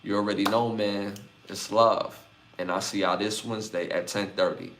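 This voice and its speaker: A man in his forties hosting a live podcast, talking in an easygoing, casual way. His voice sits a little low, and he speaks loosely as he thanks viewers one by one. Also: fairly even intonation, normal speed, background noise. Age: 40s. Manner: laid-back, shouting out viewers by name. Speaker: podcast host. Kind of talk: casual livestream sign-off chat.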